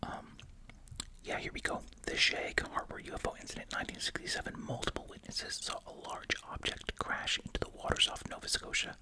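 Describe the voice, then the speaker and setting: An ASMR host speaking in a breathy, unvoiced whisper, reading aloud and musing casually. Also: a little fast.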